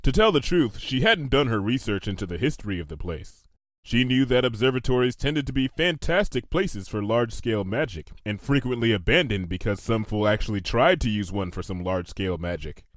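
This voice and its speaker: A man narrates a comedic story in a scripted reading style. His pitch is expressive and varied, and the recording is very dry, with no room sound. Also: fairly quick, no room sound.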